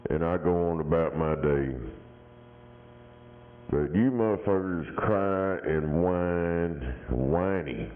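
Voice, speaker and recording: A man with a deep voice talking crude, combative, mocking banter over a CB radio. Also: slow. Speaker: CB radio talker. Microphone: radio handset. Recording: tinny CB radio transmission.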